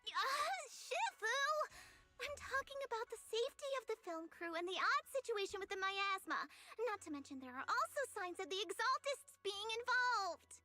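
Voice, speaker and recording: A tiny young tiger apprentice with an extremely high, bright voice. The character is earnest and exasperated, with a whiny, fretful delivery suited to an anime game. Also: female voice, expressive, normal speed, faint music behind, heavily acted. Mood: insistent.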